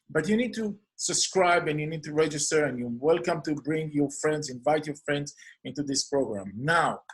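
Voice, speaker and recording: An earnest man with an Israeli accent, his first language Hebrew, hosts a solo introduction to his program. His voice sits a little high, and he strings out phrases in lists of near-synonyms. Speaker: host.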